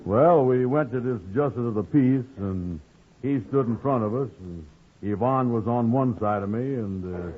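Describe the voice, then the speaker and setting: An actor playing a sheepish, gullible, slow-witted man in old radio comedy, speaking hesitantly in folksy dialect grammar. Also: mid-range voice, natural voice. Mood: sheepish, evasive. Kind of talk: 1940s radio comedy acting. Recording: muffled, noisy vintage radio recording.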